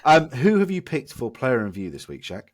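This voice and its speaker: A man co-hosting a podcast, hoarse and losing his voice from illness, ranting in an exasperated, emphatic way. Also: medium pitch, wildly swooping pitch, brisk, no room sound, clean studio sound. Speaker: podcast co-host. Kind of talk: casual podcast chat.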